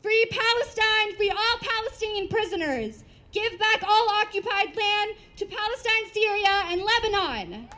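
A woman's voice: extremely high pitch, expressive, unhurried, pushed and bright. A woman giving an impassioned protest speech at an outdoor rally, in short declarative lines. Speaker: rally speaker.

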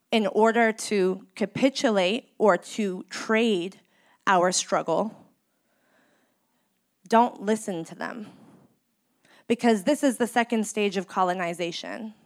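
A woman in her thirties, grieving, delivers an impassioned speech as a community organizer. She speaks in a measured, emphatic way and leans on deliberate pauses. Her voice sits at an ordinary middle pitch. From San Francisco Bay Area, United States. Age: 30s. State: in mourning.

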